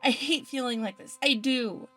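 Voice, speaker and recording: Casting: actress for a troubled high school teen in an audio drama, high voice, fearful and emotional, music underneath. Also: female voice, moderate pace, pushed and bright. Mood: anguished, hesitant. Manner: distressed, emotional delivery. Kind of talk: dramatic fan fiction audio reading.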